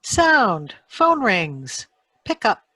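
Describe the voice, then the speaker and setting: A woman reading sound effect cues aloud for a radio play in deliberate, clipped phrases. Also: mid-range voice, big pitch swings, bright, forceful tone, no echo, faint music behind. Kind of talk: spoken stage directions, radio play.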